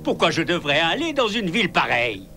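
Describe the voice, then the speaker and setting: An actor voicing a cranky, stubborn, self-righteous prophet in a cartoon, grumbling and protesting. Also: male voice, fairly high pitch, sing-song, brisk. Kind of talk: animated cartoon dubbing. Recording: loud music behind the voice.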